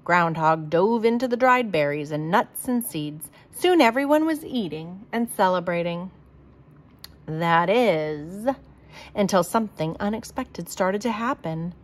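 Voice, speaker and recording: A woman reading a children's storybook aloud in a deliberate, expressive manner. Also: lowish voice. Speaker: storybook reader.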